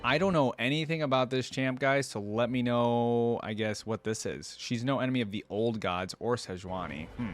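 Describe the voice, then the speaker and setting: A man's voice: medium pitch, moderate pace, hardly any pauses, no echo. A man hosting a game reaction channel, chatting casually and enthusiastically as he comments. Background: faint music.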